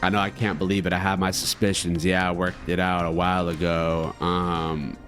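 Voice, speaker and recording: A man commentating casually on a video game he is playing, talking in an animated, slangy way. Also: deep voice, normal speed, soft background music. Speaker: gaming video creator.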